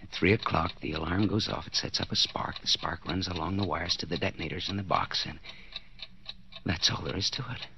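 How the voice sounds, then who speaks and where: Deep, gravelly brooding murderous husband. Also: male voice, a little fast, sharp tone, heavily acted. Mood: tense, careful. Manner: muttering, brooding self-talk in second person. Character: betrayed husband coldly plotting to murder his wife. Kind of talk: 1940s radio thriller acting. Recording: noisy vintage 1940s radio broadcast.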